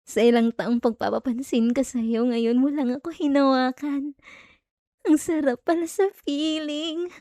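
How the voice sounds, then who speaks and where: A woman's voice: high voice, steady tone, a little slow, warm tone, dry recording, studio quality. A woman with a Filipino accent playing an obsessive, possessive stalker in an intimate ASMR roleplay, coy, teasing and sweetly menacing. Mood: giddy, lovestruck. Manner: coy, teasing, giggly, lingering over words.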